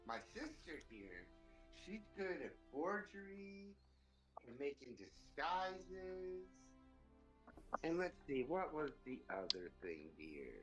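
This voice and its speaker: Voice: medium pitch, very animated, slow pace, music in the background, poor audio. A player voicing a sly rogue poisoner who calls others dear.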